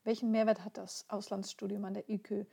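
A woman interviewer who introduces the guest and asks formal, measured questions. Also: medium pitch, level tone, creaky, mellow, husky.

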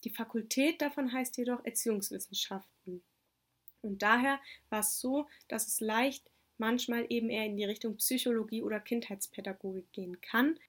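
A young woman whose first language is Turkish answers interview questions in a calm, orderly way. Her voice sits a little high. She explains things step by step. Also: moderate pace. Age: young adult. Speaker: exchange student interviewee. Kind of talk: interview answers about studying abroad.

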